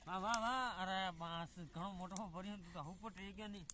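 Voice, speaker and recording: A man's voice: high-pitched, normal speed. A young man with a Rajasthani accent gives short, practical instructions to a friend while they cook outdoors in dry scrubland. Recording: loud outdoor background noise.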